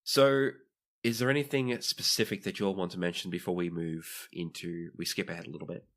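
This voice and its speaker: A man's voice: deep voice, steady tone, brisk. A man running a tabletop game as game master, narrating and asking the players questions.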